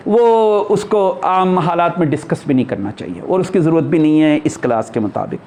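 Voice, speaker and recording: A man teaching a class in a conversational religious discourse, explaining and posing rhetorical questions. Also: high voice. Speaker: spiritual teacher lecturing a class.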